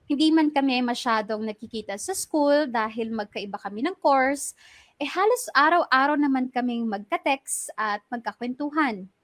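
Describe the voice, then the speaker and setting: A woman's voice: high-pitched, moderate pace, fairly bright tone, no echo. A woman with a Filipino accent acting a young woman who earnestly narrates her own story of a close friendship in a radio drama. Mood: fond, reflective. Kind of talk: scripted first-person narration.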